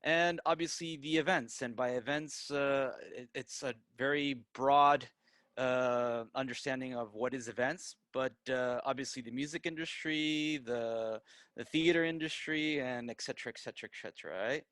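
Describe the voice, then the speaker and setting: Male presenter, American accent, mid pitch, dry recording, informal, tags points with "right?". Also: normal speed, a bit bright, dry recording. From Peru, raised in the United States. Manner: frequently tags statements with "right?". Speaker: guest presenter, digital marketer.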